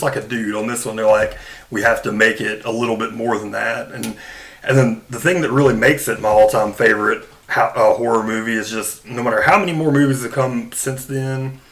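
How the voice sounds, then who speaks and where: A man's voice: medium pitch, fairly bright tone. A man hosting a podcast, talking casually and emphatically in an informal, conversational way. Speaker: podcast host. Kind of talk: casual film discussion.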